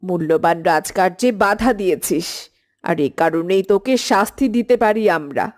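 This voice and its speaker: A woman's voice: on the low side, normal speed, close to an everyday voice. Regal queen mother voiced by a woman. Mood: stern, questioning.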